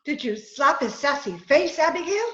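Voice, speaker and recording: Radio comedy wife, played by a woman: high, animated, wry and long-suffering, on a muffled recording. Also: unhurried. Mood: teasing, polite. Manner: wry, teasing, exasperated. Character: dry, long-suffering, sharp-tongued wife. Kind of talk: old-time radio comedy acting. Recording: roomy, muffled video-call recording.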